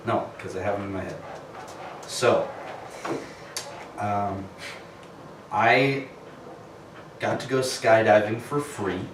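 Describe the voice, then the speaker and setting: A young man speaks slowly and without hurry in casual chat among friends. His voice sits on the low side, and he comes through from a distance in an echoey room with loud background noise. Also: steady tone. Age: young adult. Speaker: club podcast co-host.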